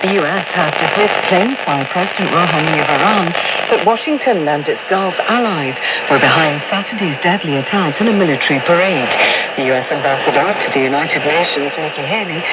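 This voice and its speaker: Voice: medium pitch, animated, a little fast. A news reader delivering a scripted radio bulletin, heard on a very noisy shortwave broadcast.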